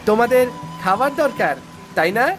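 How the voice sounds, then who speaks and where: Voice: mid-range voice, lively intonation, normal speed, close to an everyday voice. An actor voicing a spoiled, mean rich child in a cartoon, sneering and taunting. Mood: mocking. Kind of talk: Bengali cartoon voice acting. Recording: music behind the voice.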